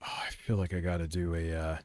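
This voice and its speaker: A man's voice: deep voice, little pitch movement, quick pace, darkish tone, faint music behind. A middle-aged man streaming casual commentary, rambling and swearing as he reminisces. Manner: profane, meandering, reminiscing. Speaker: streamer reacting to another stream.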